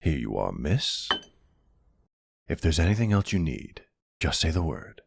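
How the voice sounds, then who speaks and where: A man's voice: extremely low pitch, big pitch swings, measured pace, gravelly, slight background noise, full character voice. A man voicing a formal, obliging manservant of a vampire household in an audio roleplay, proper and deferential. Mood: polite, eager.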